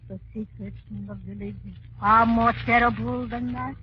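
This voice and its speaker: An actress playing a menacing native jungle woman with slow, ominous delivery in 1940s radio drama style. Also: a little high, fairly even intonation, close to an everyday voice. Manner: ominous, slow, deliberate warnings. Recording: noisy, muffled 1940s radio recording.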